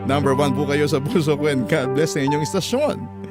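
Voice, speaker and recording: A man's voice: on the high side, brisk. A man with a Filipino accent reading a listener's letter as dramatic radio storytelling narration. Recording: loud music under the voice. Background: prominent background music.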